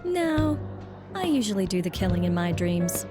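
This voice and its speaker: Casting: actress as reserved career woman and girlfriend, medium pitch, video game cutscene, heavy music bed. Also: big pitch swings, moderate pace, close to an everyday voice. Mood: cool. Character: composed, pointed career-minded girlfriend pressing for commitment. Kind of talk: naturalistic video game voice acting. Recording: loud music behind the voice.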